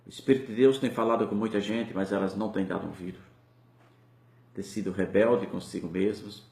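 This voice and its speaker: Impassioned Brazilian preacher in an echoey church. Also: male voice, lowish voice, steady tone, fairly quick, creaky, darkish tone. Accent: Brazilian Portuguese. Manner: impassioned, rising and falling preaching. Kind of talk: sermon.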